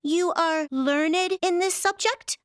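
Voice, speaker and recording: A woman's voice: very high voice, slow pace, dry recording, studio quality. A woman playing a naive, curious young scientist's daughter with formal, literal-minded phrasing, in a radio sci-fi parody. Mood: innocent curiosity. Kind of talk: old-time radio sci-fi parody acting.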